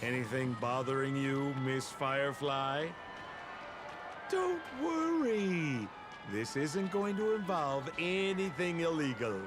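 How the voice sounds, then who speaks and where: An actor playing a sly, smooth-talking young swindler in a video game, flamboyant and ingratiating like an oily salesman. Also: a little high, expressive, takes it slowly. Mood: breezy, coaxing. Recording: music behind the voice.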